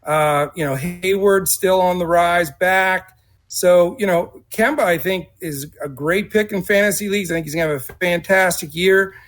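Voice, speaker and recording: A man with a fairly high voice breaking down basketball on a podcast. He sounds confident and fills his talk with "you know" and "I mean.". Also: moderate pace, fairly bright tone. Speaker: basketball analyst. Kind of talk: casual sports analysis.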